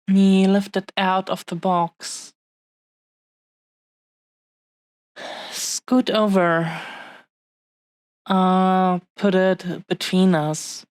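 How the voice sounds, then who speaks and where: A woman's voice: medium pitch, a little mellow, no echo. A woman voicing an affectionate, possessive dragon-woman fiancée in an intimate romantic audio roleplay, with tender, lingering delivery. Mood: insistent, reverent. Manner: tender, unhurried, pausing delivery. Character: affectionate, possessive young dragon-woman fiancée cherishing her beloved treasure.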